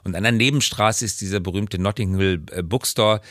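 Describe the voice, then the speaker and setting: A man's voice: lowish voice, fairly quick, fairly bright tone, dry recording, clean studio sound. A middle-aged man chatting casually as the co-host of a podcast. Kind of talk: casual conversational podcast talk.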